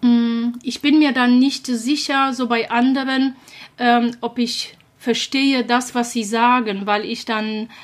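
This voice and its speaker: A Polish-accented woman of about sixty chats as a guest, often pausing to search for words. She cannot pronounce the R and trills her lips instead. Her voice sits a little higher than most women's. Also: steady tone. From Poland. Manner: hesitant, searching for words, many fillers. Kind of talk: casual conversation.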